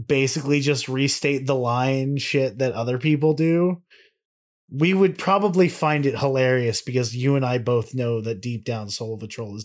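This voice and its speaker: A man co-hosting a podcast in a rambling, slangy, sweary way, moving between casual banter and reading aloud. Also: medium pitch. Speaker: podcast co-host.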